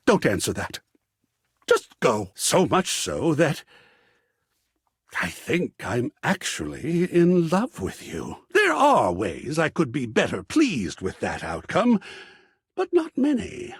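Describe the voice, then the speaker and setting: A theatrical living artwork, wildly swooping pitch, husky, pompous, florid, sensitive, video game character. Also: male voice, no room sound, studio quality, full character voice. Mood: effusive, adoring. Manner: florid, dramatic, pompous phrasing.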